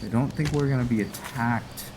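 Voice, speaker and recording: A man's voice: mid-range voice, normal speed, background noise. A man giving casual roleplay game commentary, thinking aloud and narrating his decisions. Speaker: gaming video host. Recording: game music behind the voice. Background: video game music.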